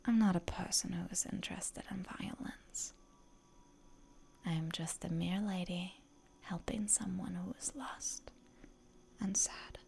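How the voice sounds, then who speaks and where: A woman playing a seductive, genteel lady vampire in a breathy, coaxing whisper for roleplay ASMR. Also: on the low side, darkish tone, exaggerated voice. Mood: coaxing, sly.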